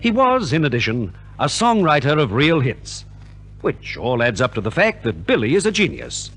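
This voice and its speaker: A man's voice: mid-range voice, wildly swooping pitch, moderate pace, fairly bright tone, faint music behind. A man narrating a scripted 1950s radio programme in a theatrical manner.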